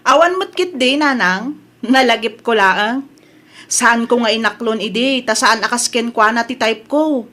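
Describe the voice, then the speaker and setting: A woman's voice: on the high side, unhurried, pushed and bright. A woman playing a vain, haughty young daughter in a comedy audio drama, with a Filipino accent and a whiny, exclamatory manner.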